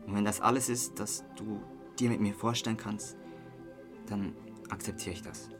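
A man's voice: lowish voice, steady tone, normal speed, music in the background, lightly acted. An actor with a Swiss German accent playing a drunk, remorseful young man in a radio drama. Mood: earnest, apologetic. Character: drunk, remorseful young man confiding in his best friend.

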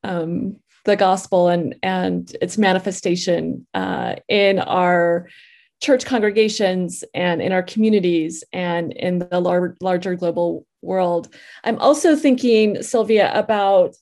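A woman leading a discussion, speaking haltingly as she searches for her words. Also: on the low side, fairly even intonation, creaky, darkish tone. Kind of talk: conversational discussion.

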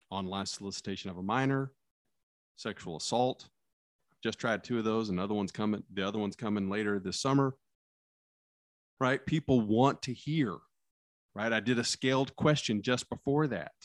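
A man with a lowish, loud, carrying voice, recorded close and dry with no room sound. He talks casually about courtroom practice as a lawyer. He animates his stories and acts out lines, sinking his voice down low for effect. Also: no echo. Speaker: criminal defense lawyer.

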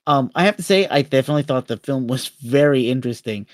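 A man's voice: mid-range voice, animated, quick pace, no echo, clean studio sound. A man co-hosting a podcast, talking through films in a casual, rambling, conversational way. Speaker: podcast co-host. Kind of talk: casual film discussion.

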